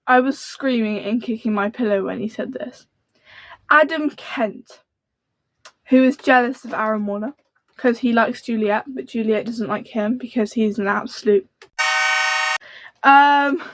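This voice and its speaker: A young woman who speaks in a soft, quiet voice, chatting to camera about books in a rambling, gushing way. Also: on the high side, measured pace, pauses often, no room sound. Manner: rambling and gushing, full of fillers. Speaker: book vlogger. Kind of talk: casual book chat to camera.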